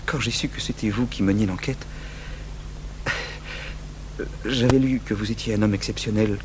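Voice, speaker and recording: An actor in a French radio drama playing a tormented, remorseful man who confesses his murderous compulsion in an anguished, halting way. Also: fairly low pitch, steady tone, natural voice. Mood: agitated. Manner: anguished, halting, swinging from outbursts to hushed murmurs. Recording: noisy, humming 1980s radio broadcast tape.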